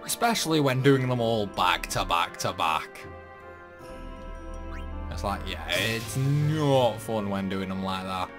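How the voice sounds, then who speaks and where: A man talks through a video game playthrough in a casual, rambling way. His pace is slow and unhurried, and he drifts into grumbling asides. Game music plays clearly behind his voice. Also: on the low side, lively intonation. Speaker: gaming video host.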